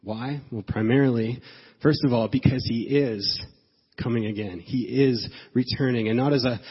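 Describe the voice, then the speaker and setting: A male pastor preaching while sick with a cold, sounding nasal and stuffed up, speaking earnestly with slow emphasis and halting restarts. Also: mid-range voice, fairly even intonation, slightly rough. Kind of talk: sermon. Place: church sanctuary. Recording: dull sound with long hall reverb.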